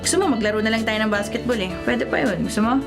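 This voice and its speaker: A woman's voice: a little high, expressive, fast talker, gravelly, over music. A woman playing a laid-back, boyish young woman in a Filipino radio drama, casual and slangy with a Filipino accent. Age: young adult.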